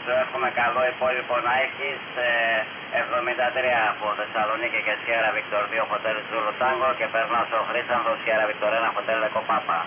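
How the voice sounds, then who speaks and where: A man's voice: medium pitch, flat delivery. A man talking casually as a ham radio operator over a radio transmission. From Greece. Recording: dull, hissy, noisy radio link.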